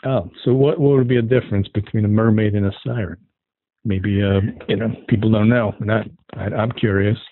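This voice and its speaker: A man with a fairly low voice interviews someone casually over a narrow telephone line. His questions come out tentative and curious. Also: fairly even intonation, a little fast, slight background noise. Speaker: podcast interviewer.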